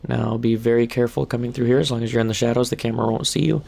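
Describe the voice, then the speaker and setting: Hoarse, gravelly male gamer narrating a walkthrough; flat, even delivery. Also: medium pitch, very fast. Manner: calm step-by-step instructions. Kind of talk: casual game walkthrough commentary.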